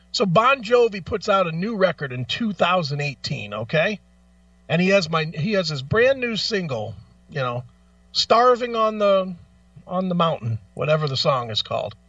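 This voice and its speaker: A man's voice: high voice, fairly bright tone, no room sound, distant mic, muffled recording. A man hosting a talk show, ranting casually in a blunt, profane, emphatic way and arguing through rhetorical questions.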